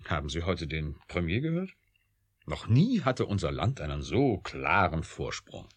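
Ein Schauspieler spielt in einem deutschen Hörspiel einen verbitterten, trotzigen Wissenschaftler mit sardonischer, eindringlicher Art. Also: male voice, deep voice, sing-song, measured pace, husky, exaggerated voice. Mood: bitter, sardonic. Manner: sardonic, insistent, intense. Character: embittered, urgent, defiant male computer scientist. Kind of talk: German radio drama acting.

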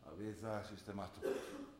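A man acting a gruff older father from the mountains, with a Trentino accent and dialect-laced, terse talk, in a staged theatre drama. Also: fairly low pitch, steady tone, fairly quick, lightly acted. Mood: hurt, cold. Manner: dialect-laced, teasing then withdrawn and terse. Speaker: stage actor. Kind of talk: theatre drama broadcast on radio. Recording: roomy stage sound with faint noise.

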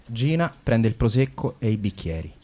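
A man's voice: medium pitch, very animated, moderate pace, mellow. Man reading stage directions plainly. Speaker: narrator.

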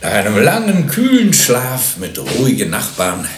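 Fussy mannered man in radio play, slow, roomy echo and noise behind. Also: mid-range voice, huge pitch swings. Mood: leisurely contentment. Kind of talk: German radio play acting. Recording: roomy echo, clearly noisy background.

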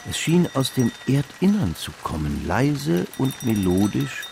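An actor narrating a literary radio play as a measured storyteller. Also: male voice, medium pitch, big pitch swings, gravelly, noisy background.

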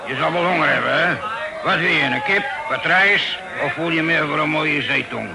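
Rough pirate seaman, gravelly, slightly high voice, cajoling, radio play, very noisy recording. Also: moderate pace. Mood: chummy cajoling. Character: convivial, coarse pirate sailor, father, pushing wine.

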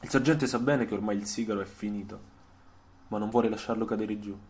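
A man reading a scripted literary story for radio, in a measured, dramatic storytelling way. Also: lowish voice, a little mellow.

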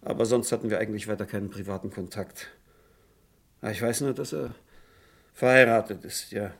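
Low-voiced, hesitant suspect. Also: male voice, steady tone, vocal fry, a bit bright. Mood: rambling, evasive. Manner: hesitant, halting, apologetic. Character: evasive, nervous insurance agent under police questioning. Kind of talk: German radio crime drama acting.

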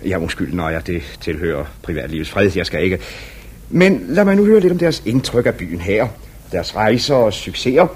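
An actor playing an eager, polite reporter interviewing a star in a 1950s radio drama. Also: male voice, sits low, sing-song, a little mellow, breathy edge, heavily acted. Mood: awkward, polite. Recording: old radio recording, faint background noise.

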